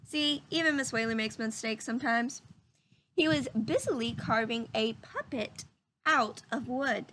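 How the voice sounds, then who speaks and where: A woman's voice: a little high, measured pace, fairly bright tone, slight background noise, rough recording. A woman reading a children's story aloud expressively, with little asides to her listeners.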